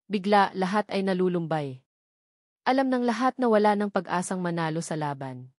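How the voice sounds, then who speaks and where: A woman's voice: medium pitch, darkish tone, no echo. Audiobook narrator: woman, mid-range voice, scripted storytelling, very dry recording.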